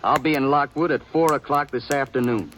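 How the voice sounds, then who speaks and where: A man's voice: medium pitch, fairly even intonation, fairly quick, fairly bright tone, a little hiss or hum, natural voice. A man in a 1950s radio western playing a cocky young gunfighter who talks in a sneering, confident way. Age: young adult. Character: cocky young male gunfighter, cold and boastful. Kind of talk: 1950s radio drama acting.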